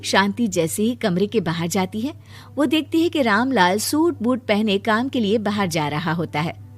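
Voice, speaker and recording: A woman's voice: mid-range voice, sing-song, moderate pace, faint music behind. A woman reading scripted narration for a moral tale as the storyteller.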